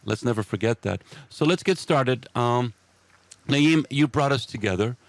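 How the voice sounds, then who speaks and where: Gravelly-voiced male show host. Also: mid-range voice, lively intonation, moderate pace, no echo. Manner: loose, rambling, with many fillers. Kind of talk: casual interview chat.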